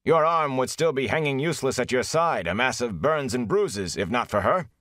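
A man voicing a calm, stern village mayor who speaks softly and rumbles. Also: moderate pace, no echo, studio quality, close to an everyday voice. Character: calm, imposing male village mayor and innkeeper.

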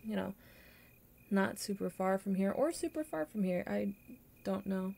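A young woman playing a kind, awkward popular girl in an audio roleplay, with halting, stammering, flustered delivery. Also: mid-range voice, expressive, creaky, dark tone. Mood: shy, caring. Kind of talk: intimate audio roleplay acting.

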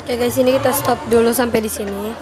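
A woman's voice: on the high side, fairly even intonation, fast, heavy background noise. A teenage girl chatting playfully with friends while vlogging through a shopping mall on a handheld phone. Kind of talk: casual vlog chat with friends. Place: busy shopping mall, indoors. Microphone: handheld phone camera.

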